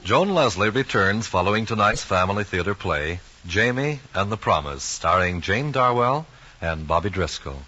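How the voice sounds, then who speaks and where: Animated low-voiced male radio announcer. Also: normal speed, noisy background. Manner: formal, storytelling. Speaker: announcer, narrator. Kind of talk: 1940s radio scripted narration. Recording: dull old radio broadcast recording. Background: music.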